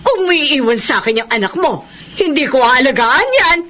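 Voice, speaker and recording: A woman's voice: high voice, animated, normal speed, background noise. An actress playing a middle-aged, nagging mother who scolds in an exasperated way, in a Filipino radio drama. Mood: exasperated scolding.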